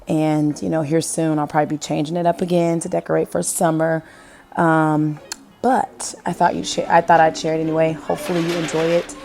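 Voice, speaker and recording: A woman in her thirties with a hoarse, gravelly voice from being sick, chatting casually over her home decorating video and asking viewers what they think. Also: sits low, flat delivery, brisk, darkish tone. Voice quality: gravelly, husky. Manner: chatty, rambling, asks viewers questions. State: hoarse from illness.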